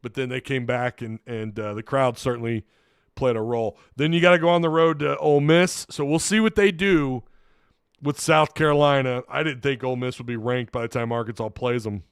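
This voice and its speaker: A man's voice: medium pitch, fairly even intonation, fairly quick, clear, resonant tone, no room sound, studio quality. A man hosting a casual solo sports recap, tired and losing his voice, rambling with frequent restarts. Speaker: sports podcast host.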